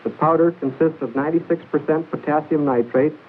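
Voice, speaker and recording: A man narrates an old military training film in a formal, measured, precise instructional style, reading from a script. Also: fairly high pitch, phone-quality sound. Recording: hissy band-limited 1940s film soundtrack.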